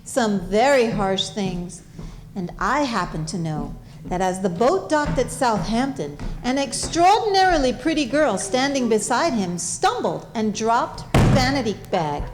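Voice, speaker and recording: A woman narrates a humorous story from a script. Her voice is pitched high. Music plays behind her as she tells the tale. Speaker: narrator of a comic play.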